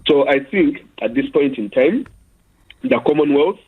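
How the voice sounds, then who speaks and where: A Ugandan-born man calling a radio phone-in, heard through a tinny telephone line. His voice is husky and mid-pitched, and he argues earnestly, padding his points with fillers. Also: lively intonation, unhurried. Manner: earnest, argumentative, many fillers. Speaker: caller. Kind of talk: phone-in call.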